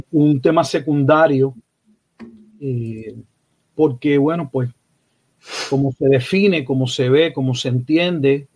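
Measured pastor. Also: male voice, medium pitch, darkish tone. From Puerto Rico. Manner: measured, listing phrases in series. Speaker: pastor, guest. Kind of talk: interview answers.

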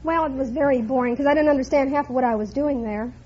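High-voiced woman contestant on old radio. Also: fast talker, a little mellow. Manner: chatty, throat-clearing storyteller. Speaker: quiz contestant. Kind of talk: answering a host's questions. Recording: muffled 1950s radio recording.

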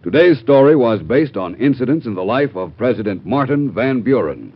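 A man's voice: medium pitch, big pitch swings, normal speed. A man with an American accent announcing credits from a script in mid-century radio style. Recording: muffled vintage radio broadcast recording.

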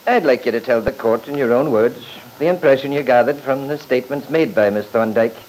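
A man's voice: medium pitch, darkish tone, lightly acted. Man playing shrewd courtly lawyer, noisy vintage radio. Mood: probing. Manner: measured, probing, formal, rhetorical. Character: shrewd, courtly male lawyer questioning a witness. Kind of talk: old-time radio drama acting.

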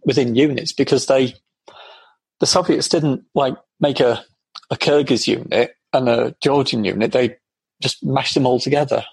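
A man's voice: mid-range voice, vocal fry, slightly rough, dry recording, rough recording. A male interview guest explaining eagerly and going off on tangents. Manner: eager, digressive. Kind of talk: conversational explanation.

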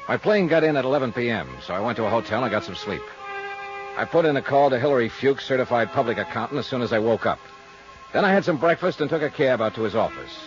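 A man's voice: medium pitch, natural voice. A man playing a wry American insurance investigator in 1950s radio detective style, clipped, dry and businesslike in dialogue and narration. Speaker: lead actor and narrator. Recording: dull 1950s radio recording, faint music.

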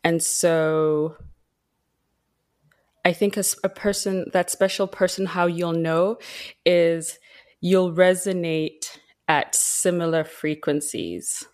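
A woman's voice: on the low side, fairly even intonation, slow, a little mellow. Tired woman on a podcast. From Zimbabwe. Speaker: podcast guest, mining engineer. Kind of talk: casual interview chat.

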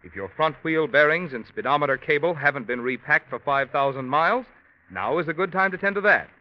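Lively male announcer on muffled old radio. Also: on the high side, brisk. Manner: polished, persuasive. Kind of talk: 1940s radio commercial announcing. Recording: dull vintage radio recording.

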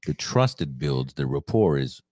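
A man co-hosting a casual podcast, telling an animated story and laughing often. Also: rumbling low, unhurried, dry recording, clean studio sound. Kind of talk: casual chat.

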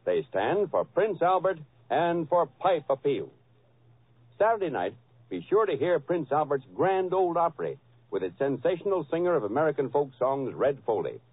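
A man working as a commercial announcer, delivering a scripted 1940s radio ad pitch. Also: sing-song, normal speed, husky. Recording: narrow-band vintage radio recording.

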